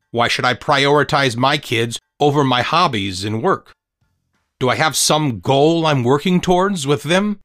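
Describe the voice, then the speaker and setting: A man narrating his own book as an author and podcast host, in an earnest, measured, motivational way. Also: mid-range voice, fairly bright tone, dry recording, studio quality. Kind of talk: scripted audiobook narration.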